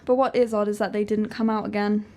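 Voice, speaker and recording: A woman playing a calm, otherworldly sensing partner, measured, formal and detached, in an audio drama. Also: medium pitch, lightly acted. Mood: serious, measured.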